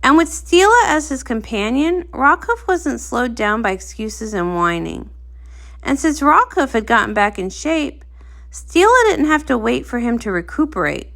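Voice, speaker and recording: High-pitched, animated woman storyteller. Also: moderate pace, gravelly. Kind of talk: expressive comic read-aloud narration.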